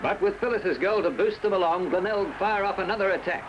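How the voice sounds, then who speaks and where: Aussie guy doing old 70s footy newsreel narration, high voice, formal wording, dull muffled sound. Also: a little fast, background noise. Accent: Australian. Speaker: newsreel commentator. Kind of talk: scripted 1970s sports newsreel narration.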